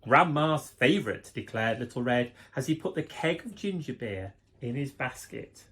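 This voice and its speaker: Animated man reading to young children. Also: medium pitch, normal speed. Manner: expressive, addressing young children. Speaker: guest reader, primary school teacher.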